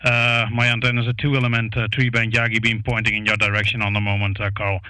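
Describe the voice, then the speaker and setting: A man with a Dutch accent chats over ham radio as an operator. His voice is bright and crisp, captured close and dry on a studio microphone. He is a native Dutch speaker and pauses often with filler sounds as he goes. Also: on the low side, completely flat delivery, no room sound. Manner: halting, frequent hesitations with uh. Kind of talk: ham radio contact chat. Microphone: close studio broadcast microphone.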